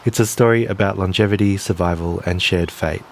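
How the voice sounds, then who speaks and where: A man with a very low voice reads literary fiction in a measured, quiet way. Clear background noise sits behind his voice. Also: fairly even intonation. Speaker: writer reading own short story. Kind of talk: literary short story reading.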